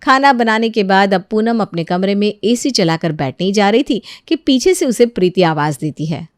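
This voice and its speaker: A woman's voice: medium pitch, lively intonation, normal speed, no room sound, clean studio sound. A woman telling a scripted household moral story as its narrator.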